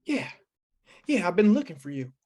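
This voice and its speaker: A man's voice: high-pitched, sing-song, normal speed, darkish tone. A man playing a fretful, henpecked small-town husband in an old-time western radio drama, hesitant, earnest and complaining.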